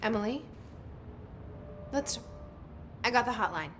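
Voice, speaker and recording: An actress playing a guarded, quietly determined young woman in a video game, speaking in a hushed, clipped, pensive way. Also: fairly high pitch, lively intonation, unhurried, slight background noise. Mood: searching, determined. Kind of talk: video game drama, introspective and understated.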